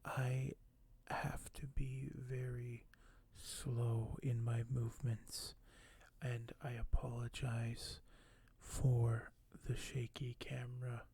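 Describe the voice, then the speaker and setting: A man doing soft-spoken ASMR in a breathy voice that often drops to a whisper, speaking in a slow, hushed, hesitant and apologetic way. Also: medium pitch, little pitch movement. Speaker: ASMR video host.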